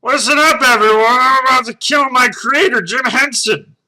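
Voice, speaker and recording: A man voicing an enraged, murderous puppet monster yelling threats. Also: extremely high pitch, normal speed, pitched above the performer's own voice, heavily acted.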